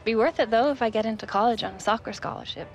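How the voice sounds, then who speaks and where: A woman's voice: fairly high pitch, fast, muffled recording, soft background music, natural voice. A young woman playing an upbeat, loyal teenage girl soccer player in a naturalistic video game cutscene. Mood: upbeat, chatty. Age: young adult.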